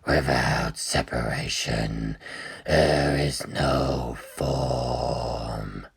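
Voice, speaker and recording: A narrator voicing a vast, ancient godlike being that intones solemnly and ominously in a gravelly, husky whisper, for a story. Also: extremely low pitch, steady tone, voice pushed down, full character voice. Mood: solemn menace. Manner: extremely slow, solemn, breathy intoning.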